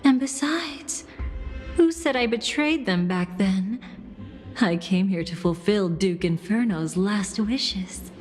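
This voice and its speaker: A seductive game villainess played by a woman speaks slowly in a teasing, theatrical way. She is capricious and mocking, and relishes destruction. Her voice carries a long reverb, with music playing behind it. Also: mid-range voice, a little mellow, lightly acted. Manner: teasing, theatrical, drawn-out phrasing. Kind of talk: dramatic video game voice acting.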